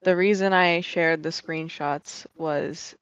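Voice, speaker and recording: A game community owner calmly explaining their plans in a measured, deliberate way during a casual call interview. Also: medium pitch, smooth, dry recording, muffled recording.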